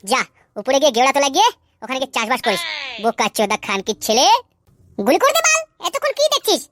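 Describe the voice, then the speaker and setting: Menacing cartoon thug, very high childlike voice, lively swings, coarse taunts. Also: male voice, fast, no room sound. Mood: menacing, impatient. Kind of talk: Bengali comedy cartoon dubbing.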